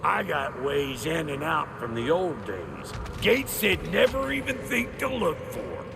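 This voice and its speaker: Cantankerous dwarf blacksmith, gruff folksy US drawl, slowish delivery, very animated pitch, video game cutscene. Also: male voice, background noise, exaggerated voice. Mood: indignant, grumbling. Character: cantankerous foul-mouthed middle-aged male dwarf blacksmith.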